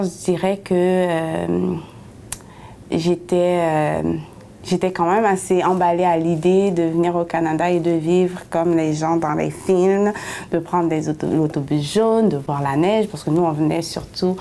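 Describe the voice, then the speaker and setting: A woman. Her voice is low and mellow, with little rise and fall. She pauses often and restarts her sentences while a faint rumble sits underneath. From Haiti. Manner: hesitant, many pauses and restarts. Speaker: interviewee, city councillor. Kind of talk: personal testimony in an interview.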